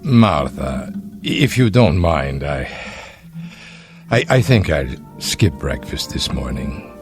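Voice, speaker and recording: An actor playing a frail, stubborn but gentle husband in his seventies in a radio drama, speaking in a halting, courteous way. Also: extremely low pitch, very animated, music in the background, full character voice. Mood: stubborn, flustered. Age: 70s.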